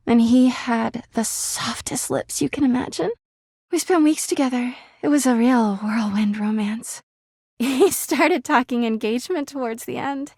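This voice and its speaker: A woman's voice: fairly high pitch, vocal fry, darkish tone, no echo, studio quality. A woman voicing a clingy, jealous talking laundry hamper in a video game, gushing sweetly and flipping into bitter drama. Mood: wistful, confiding. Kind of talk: video game character voice acting.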